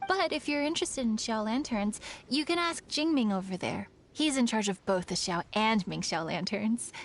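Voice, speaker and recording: A woman's voice: on the high side, normal speed, a little hiss or hum, natural voice. An actress playing a friendly, helpful, dutiful young townswoman in a video game, polite and reassuring. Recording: music behind the voice.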